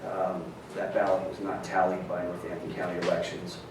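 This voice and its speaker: A man giving a formal report at a township council meeting, halting and full of fillers. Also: deep voice, monotone. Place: council chamber. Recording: echoey distant room mic with hiss.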